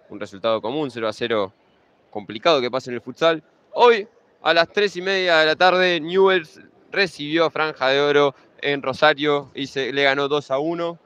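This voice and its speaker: A young man with an Argentine Spanish accent doing pre-match futsal commentary. His voice is clear and smooth, and sits a little high. He talks in a relaxed way as he runs through results, the league table and team lineups. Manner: conversational, reels off standings and lineups.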